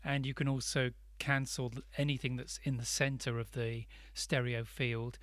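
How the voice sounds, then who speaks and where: A man narrates a step-by-step tutorial in a measured, explanatory way. His pitch is average for a male voice, and the sound is dry and close, with very little room in it. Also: little pitch movement, a bit bright, dry recording. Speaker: instructor.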